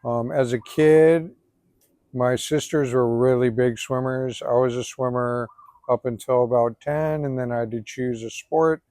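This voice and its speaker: A man in his forties from Hawaii, a tattoo artist and swimmer, talking in a relaxed, easygoing way as the guest, outdoors after a swim. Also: mid-range voice, a little slow, no room sound. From Hawaii, Oahu. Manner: relaxed, even-toned, filler-heavy. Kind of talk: casual outdoor interview.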